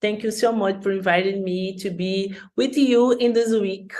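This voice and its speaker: A woman's voice: mid-range voice, steady tone, normal speed, a little mellow. A woman from Rio de Janeiro with a Brazilian Portuguese accent, a native Portuguese speaker giving a careful, prerecorded talk in English as a guest.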